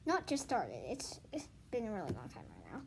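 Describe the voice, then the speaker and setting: A woman's voice: medium pitch, wildly swooping pitch, a little fast, vocal fry. A female speaker in a casual home read-aloud and vlog, chatting informally with muttered asides to herself. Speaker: host reading a children's book aloud. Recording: noisy home recording with clicks.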